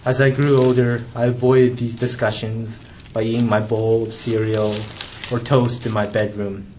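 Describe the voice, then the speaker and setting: A young man reading aloud from his own memoir, in a measured, restrained way with the odd stumble. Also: mid-range voice, little pitch movement, a little mellow, a little hiss or hum, poor audio. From Canada.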